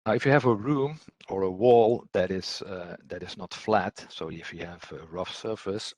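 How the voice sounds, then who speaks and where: Low-voiced man, halting technical presenter. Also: darkish tone, no echo. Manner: hesitant, halting delivery with repeated words. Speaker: technical presenter, audio trainer. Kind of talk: explanatory slide presentation, unscripted.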